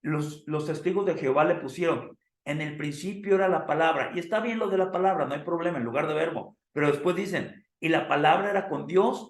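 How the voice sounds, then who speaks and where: Hoarse man lecturing in an echoey room. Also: high voice. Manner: asks the class questions and addresses them as "amados". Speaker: theology teacher. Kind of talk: classroom lecture with preacher cadence.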